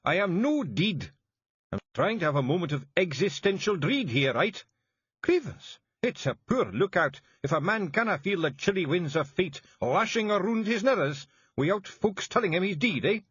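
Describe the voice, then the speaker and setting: A man's voice: high-pitched, big pitch swings, normal speed, fairly bright tone, husky, dry recording, muffled recording, pitched above the performer's own voice, full character voice. A man voicing a proud, brooding tiny clan chief with a blustering manner, in an audiobook. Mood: angry. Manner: blustering, broad dialect.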